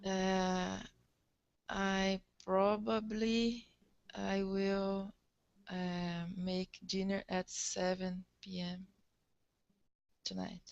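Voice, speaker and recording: Woman, English class student, very slow, hesitant, little pitch movement, muffled call audio. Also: flat delivery, clean tone. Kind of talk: hesitant, simple classroom answers. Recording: faint, dull lo-fi online call.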